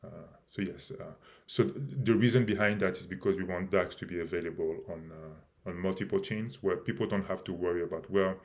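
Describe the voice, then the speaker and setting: A man hosting a live stream about his project, speaking haltingly with many fillers and restarts, and losing his voice a little. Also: deep voice, fairly even intonation. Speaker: crypto project host.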